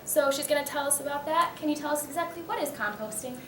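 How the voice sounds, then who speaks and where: A woman's voice: high-pitched, fast talker. A woman playing the host in a scripted mock TV news interview. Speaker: news interviewer in a readers theater skit. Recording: echoey room, distant mic, background noise.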